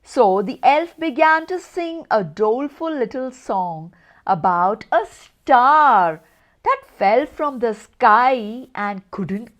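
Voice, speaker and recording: A woman storyteller reading aloud to children, expressive, with deliberate dramatic pauses and emphasis. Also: on the high side. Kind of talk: expressive read-aloud narration.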